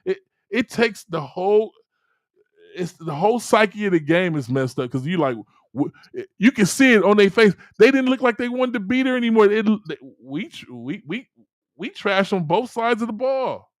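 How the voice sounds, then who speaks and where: A man's voice: high-pitched, animated, moderate pace, dry recording, studio quality. A man co-hosting a sports talk show, passionately defending his team in a heated debate, stammering and repeating words when worked up. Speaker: co-host.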